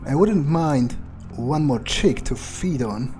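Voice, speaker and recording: Man roleplaying a slow-talking, scornful vampire over game music. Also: a little high, lively intonation, dark tone. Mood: callous, musing. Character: cocky, callous male vampire roleplayed by a gamer. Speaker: gamer roleplaying in a playthrough. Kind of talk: improvised in-character game commentary.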